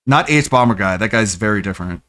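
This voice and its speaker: A man's voice: lowish voice, expressive, fairly quick, sharp tone, dry recording. A man hosting a stream, chatting casually with a sarcastic, mocking edge. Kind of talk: casual reaction chat.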